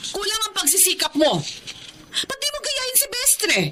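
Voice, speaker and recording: A woman's voice: extremely high pitch, big pitch swings, normal speed, background noise. A woman acting in a Filipino radio drama as a nagging, demanding wife and mother, scolding and emotional. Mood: angry, pleading.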